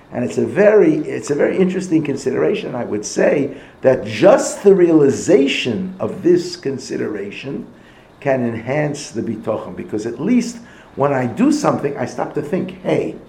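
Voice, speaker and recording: A man teaching a Torah lesson in a conversational, animated way, mixing in Hebrew and Yiddish terms. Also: a little high, reverberant, low-quality recording. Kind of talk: Torah lecture.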